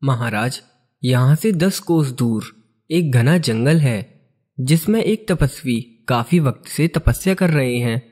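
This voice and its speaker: A man's voice: mid-range voice, lively intonation, slow, a little mellow, breathy edge. A man playing an earnest, deferential royal minister in a cartoon moral story, with formal address.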